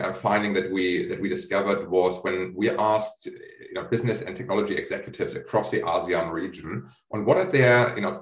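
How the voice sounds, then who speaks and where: A man hosting a business interview, asking long questions with many fillers and restarts. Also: sits low. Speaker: host, chief executive of a research firm. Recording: echoey room.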